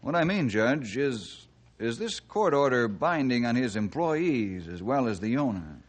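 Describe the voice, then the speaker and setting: An actor playing a firm, upright forest ranger, earnest and assertive, in a 1950s radio drama. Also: male voice, mid-range voice, big pitch swings, a little slow.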